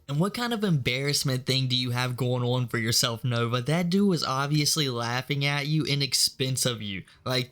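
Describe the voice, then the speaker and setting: A man's voice: medium pitch, fairly bright tone. A man giving informal, disapproving video commentary in a scornful tone.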